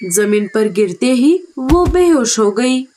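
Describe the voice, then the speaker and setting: A woman's voice: a little high, expressive, slow, dark tone, soft background music. A woman narrating a scripted story for children.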